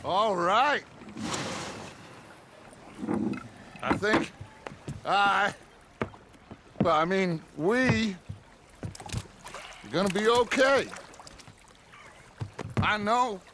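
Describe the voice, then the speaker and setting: An actor playing a grandiose, upbeat middle-aged American outlaw leader in a western game, speaking in a theatrical, expansive way. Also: male voice, high-pitched, extremely slow, pauses often. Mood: earnest, reassuring. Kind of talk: cinematic western game acting. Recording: loud watery boat noise behind. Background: water and boat sounds.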